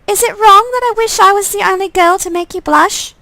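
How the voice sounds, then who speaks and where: Woman voicing a very high, jealous shapeshifter sweetheart. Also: a little fast, bright, forceful tone, no echo, full character voice. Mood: jealous, probing.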